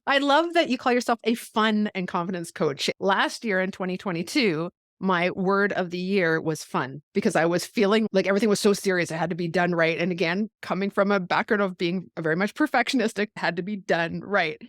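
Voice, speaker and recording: A woman's voice: lowish voice, a little fast, no echo, clean studio sound. A woman hosting a podcast, talking in a casual, reflective interview style. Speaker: podcast host.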